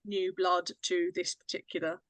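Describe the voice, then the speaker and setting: A woman's voice: on the low side, flat delivery, moderate pace, crowd noise. A woman hosting a group roundup in a casual, chatty style. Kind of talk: casual chat.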